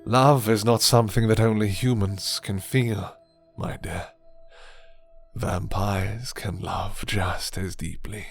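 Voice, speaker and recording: A man voicing a suave, menacing, condescending vampire lord in an ASMR roleplay, courtly and theatrical, with deliberate delivery. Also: on the low side, fairly even intonation, creaky. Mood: brooding, sorrowful. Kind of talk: ASMR roleplay character acting. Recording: music behind the voice.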